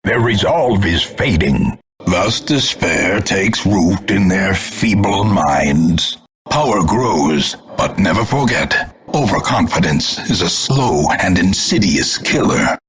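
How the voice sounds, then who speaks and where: An actor playing a grim, scornful older male announcer in a video game, grandiloquent and portentous, with a gravelly, husky voice. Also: low voice, animated, slow pace. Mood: menacing relish. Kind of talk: theatrical scripted game announcements.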